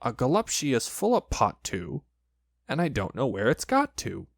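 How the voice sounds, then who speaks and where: A man voicing a gentle, slow-witted storybook toy bear who muses in a simple, puzzled, childlike way. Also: mid-range voice, huge pitch swings, gravelly, no room sound, clean studio sound, full character voice. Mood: puzzled musing.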